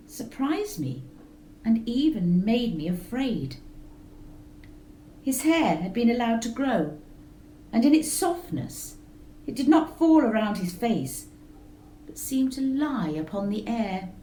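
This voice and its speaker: A woman's voice: on the high side, a little hiss or hum. Woman reading a gothic tale aloud. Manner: slow, measured, expressive reading. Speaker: reader. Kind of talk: storybook narration. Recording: roomy echo with faint music.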